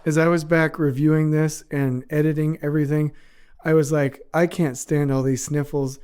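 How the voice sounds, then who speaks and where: A man's voice: fairly high pitch, flat delivery, normal speed, a little mellow, clear, resonant tone. A man hosting his own podcast, talking casually with a stuffy nose from a cold, earnest and a little rambling. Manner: rambling, earnest, self-mocking. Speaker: podcast host. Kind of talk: casual solo podcast monologue.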